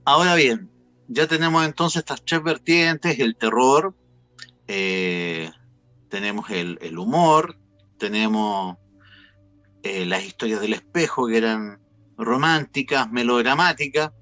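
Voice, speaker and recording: A man's voice: medium pitch, animated, slow, sharp tone, dry recording, soft background music. A Chilean-accented man hosting a conversational radio interview in an enthusiastic, wordy way. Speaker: host.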